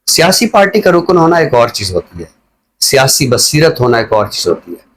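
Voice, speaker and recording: A middle-aged man with a Pakistani accent speaks as a literary commentator on a talk show. He explains his points in a measured, lecturing manner, at an ordinary male pitch. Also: big pitch swings, slight background noise.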